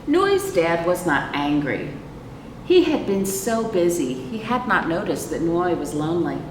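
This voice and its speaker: An American woman leads a storytime for young children in a lowish voice that moves at a leisurely pace. She is expressive and puts questions to her listeners as she goes. The sound is distant and reverberant, with noise behind her. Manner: expressive, asks listeners questions and pauses for answers. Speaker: librarian hosting children's storytime. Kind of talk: picture book read-aloud for young children. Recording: roomy, echoing, noisy, far from microphone.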